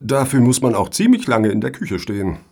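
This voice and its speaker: A man's voice: medium pitch, very animated, a little fast, a little mellow, husky. A man playing a mild, wry host in a satirical radio play, speaking in a conciliatory way.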